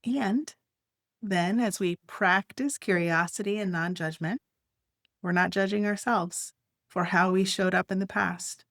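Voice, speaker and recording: A woman leading a guided meditation, speaking gently in short, unhurried phrases with long pauses. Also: mid-range voice, no room sound, clean studio sound.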